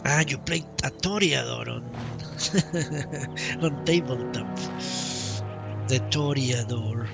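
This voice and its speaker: Give me a slow-talking male streamer over music. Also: mid-range voice, creaky. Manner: halting, rambling, with broken-up phrases. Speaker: game streamer. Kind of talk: casual live playthrough commentary. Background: game music.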